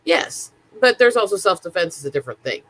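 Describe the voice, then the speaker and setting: A woman's voice: mid-range voice, very animated, brisk, breathy edge. A woman in casual livestream chat, talking bluntly and outspokenly.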